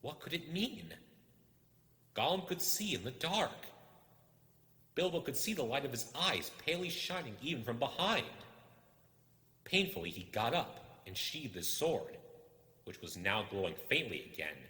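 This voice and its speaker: A man's voice: medium pitch, big pitch swings, unhurried, long pauses, sharp tone. A man reading a suspenseful fantasy story aloud as a dramatic narrator. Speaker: storyteller, reader. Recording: echoing hall-like reverb.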